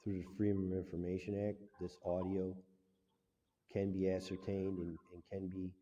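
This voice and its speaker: A man speaking as a caller lodging a formal complaint, in an emphatic, halting, legalistic way, on a muffled and reverberant recording. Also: sits low, level tone, mellow. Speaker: caller to a government agency.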